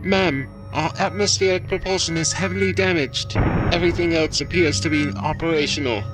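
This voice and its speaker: Dutiful bridge officer played by a man. Tense, formal, slightly high, audio drama with music. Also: fairly even intonation, a little fast, natural voice, poor audio. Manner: formal, obedient reporting.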